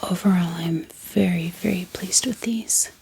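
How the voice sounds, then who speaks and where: A woman talks in a hushed, breathy whisper with a crackly fry running through it. Her delivery is casual and gentle, with unhurried pauses as if showing items to a viewer in an ASMR video. There is faint noise and light clicking in the recording. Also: lowish voice. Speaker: ASMR book haul vlogger. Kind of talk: soft-spoken whispered ASMR, casual and unscripted.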